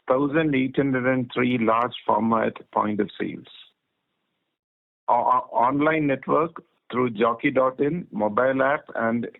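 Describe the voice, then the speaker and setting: A man's voice: mid-range voice, creaky. A man with an Indian accent giving formal prepared business remarks as a managing director, phrase by phrase. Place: conference phone call. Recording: thin, tinny phone line.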